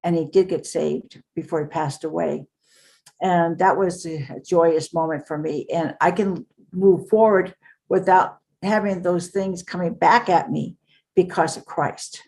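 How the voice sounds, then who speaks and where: An older woman speaks as the guest on an interview podcast, her voice sitting a little low. She tells her stories earnestly and in a rambling way, often pausing on fillers. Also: steady tone, creaky, darkish tone. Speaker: author and guest. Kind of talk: casual interview talk.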